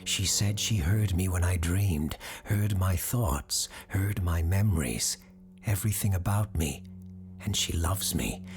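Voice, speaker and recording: Lovesick young sailor read by a woman, dreamy, insistent, deep-voiced, music beneath, for an audio ghost tale. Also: unhurried. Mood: entranced. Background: music under the voice.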